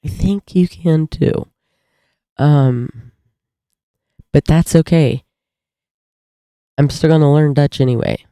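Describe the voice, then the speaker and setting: A woman's voice: low voice, slow, long pauses, dry recording, clean studio sound. An American woman from the United States chatting casually as she reacts to a video. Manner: relaxed, chatty asides. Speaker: reaction channel host.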